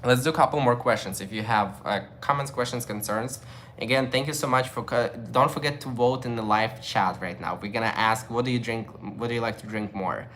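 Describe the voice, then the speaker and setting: Male streamer, young adult; casual, rambling chat with viewers, mid pitch, faint noise behind him. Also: a little fast, fairly bright tone. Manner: loose, chatty, with many fillers and restarts. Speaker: livestream host. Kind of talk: casual livestream chat, reading viewer comments.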